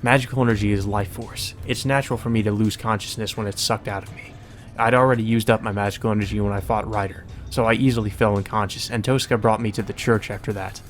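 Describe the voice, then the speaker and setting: A man's voice: on the low side, steady tone, fast talker, a little hiss or hum. A man reading visual novel narration aloud as a let's play, mixed with casual, sweary commentary.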